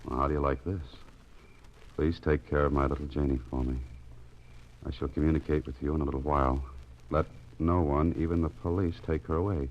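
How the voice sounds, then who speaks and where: An actor playing a wry, soft-hearted private investigator who muses to himself in 1940s radio drama style. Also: male voice, very deep voice, fairly even intonation, brisk. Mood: wry, musing. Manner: wry, self-mocking, musing aloud. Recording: muffled 1940s radio recording with music.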